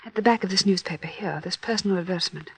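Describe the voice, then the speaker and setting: An actress playing an earnest resistance helper in an old-time radio drama, gentle but insistent and a little evasive. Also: female voice, on the low side, racing, slight background noise, natural voice, poor audio. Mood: quietly determined. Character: earnest, daring woman resistance helper, outwardly meek.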